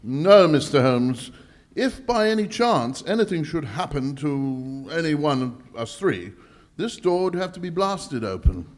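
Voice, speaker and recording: An actor playing a pompous, formal English lord in a live old-time radio mystery, speaking with declamatory emphasis. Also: male voice, on the high side, animated, a little slow. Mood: proud, emphatic. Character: pompous English lord guarding the nation's gold vault. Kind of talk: old-time radio drama acting on stage. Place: live stage performance at a conference.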